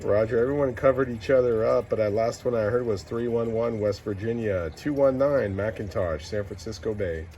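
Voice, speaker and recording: A man's voice: on the low side, normal speed, few pauses. A man working a CB radio in casual, slangy talk, repeating clipped callsigns and numbers. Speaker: CB radio operator. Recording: loud radio static and noise.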